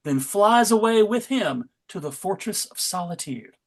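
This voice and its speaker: A man's voice: sits high, expressive, measured pace. A man acts as host and narrator for a dramatic comic-book reading. He plays up the narration and drops in wry, tongue-in-cheek asides.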